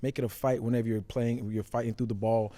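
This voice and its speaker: Mellow-voiced man giving casual football analysis. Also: medium pitch, level tone, fast. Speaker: former safety, show analyst.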